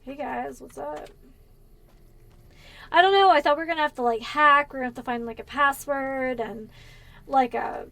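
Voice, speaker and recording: A woman's voice: sits high, moderate pace, creaky, pauses often, bright, forceful tone, dry recording. A woman from Pennsylvania with a Californian accent, chatting casually and rambling as she streams a game. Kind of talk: casual gameplay chat.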